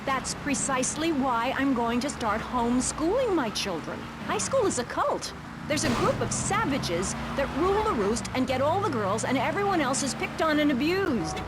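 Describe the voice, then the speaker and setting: High-voiced, overwrought suburban mother. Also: sing-song, moderate pace. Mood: righteous, frantic. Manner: indignant, dramatic, righteous. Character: prim, overwrought suburban mother, bitter and self-righteous. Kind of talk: satirical talk radio acting. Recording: very noisy game capture, traffic sounds.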